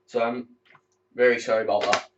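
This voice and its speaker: A teenage cadet corporal chatting candidly to camera at home, rambling and swearing now and then. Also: male voice, mid-range voice, little pitch movement, fairly quick, noisy background. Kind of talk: casual talk to camera. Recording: distant, muffled mic.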